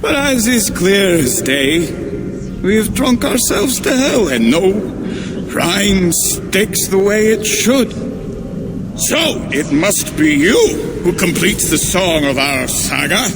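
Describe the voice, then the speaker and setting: A boisterous, melodramatic dwarf poet in a fantasy game. He declaims slowly in a fairly high, very animated voice. Heavy ambient noise sits behind him. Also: loud music behind the voice, heavily acted. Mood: boisterous, theatrical. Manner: theatrical, declamatory. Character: boisterous, drink-addled dwarf poet, melodramatic yet mournful. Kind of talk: video game cutscene acting.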